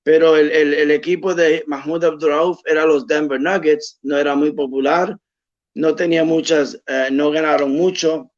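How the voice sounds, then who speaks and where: Man, slow and hesitant, fairly high voice, dull lo-fi recording. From United States. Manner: hesitant, many fillers and restarts. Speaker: imam telling his conversion story. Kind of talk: personal storytelling. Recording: dull lo-fi sound.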